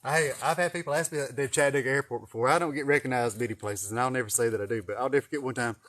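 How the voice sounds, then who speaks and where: A man's voice: medium pitch, racing, a bit bright. A man with an East Tennessee Southern accent, rambling through folksy, profane stories in a casual livestream chat. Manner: folksy, profane, chuckling storyteller. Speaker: snake catcher hosting a live video. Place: greenhouse garden.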